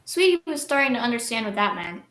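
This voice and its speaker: A woman's voice: a little high, sing-song, fast, a bit bright. A teenage girl reading a picture book aloud over a video call from an echoey room.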